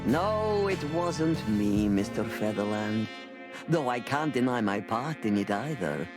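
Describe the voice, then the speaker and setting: A performer playing a cold-blooded crocodile crime boss in a noir video game, speaking in a measured, formal, condescending way. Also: mid-range voice, sing-song, over music. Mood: cool, unapologetic.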